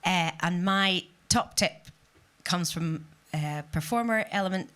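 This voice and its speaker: A woman theatre practitioner giving a panel tip in an earnest, measured way. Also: lowish voice, a bit bright. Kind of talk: panel remarks.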